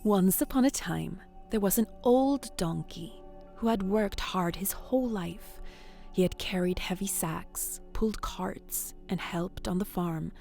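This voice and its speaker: A woman hosting a bedtime story, guiding relaxation in a soft, soothing, often whispered voice. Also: mid-range voice, unhurried, gravelly, soft background music. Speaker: storyteller and host.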